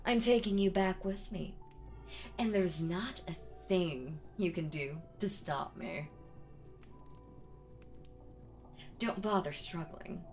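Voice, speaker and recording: A woman voicing a seductive, domineering demon queen in a dramatic audio roleplay, speaking theatrically and deliberately with teasing menace. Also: fairly low pitch, a little mellow, faint music behind. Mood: gloating, triumphant.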